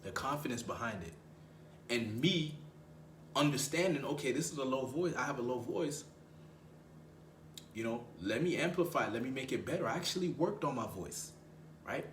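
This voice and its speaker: A young man with a low voice, giving dating advice in a casual, slangy way and often repeating himself for emphasis. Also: lively intonation, moderate pace, long pauses, reverberant, slight background noise. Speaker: dating coach.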